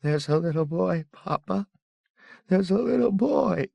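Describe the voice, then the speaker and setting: A man's voice: sits high, lively intonation, measured pace, gravelly, husky, dry recording, dull audio, pitched above the performer's own voice, heavily acted. A man reading aloud and voicing a frightened young boy who pleads through tears. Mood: pleading. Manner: pleading, sobbing.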